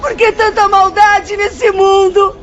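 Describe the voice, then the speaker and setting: A woman's voice: very high-pitched, level tone, takes it slowly. A woman playing an anguished, devoted wife in a radio drama, crying and wailing with passion. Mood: tearful, consoling. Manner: wailing, impassioned. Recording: dull audio under loud music, crowd.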